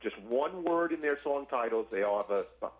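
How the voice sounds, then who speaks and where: A man calling in to a radio show, chatting casually in a halting, deliberate way. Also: medium pitch, animated, lo-fi sound. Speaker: radio call-in listener. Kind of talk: casual call-in chat.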